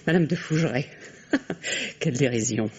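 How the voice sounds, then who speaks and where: Husky, volatile, bitter wife. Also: female voice, low-pitched, expressive, dull audio, exaggerated voice. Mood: hysterical, mocking. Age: middle-aged. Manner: halting, repeating words, emotionally volatile. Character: bitter, grey-haired, unhappy wife, trapped and theatrical. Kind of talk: French radio drama acting.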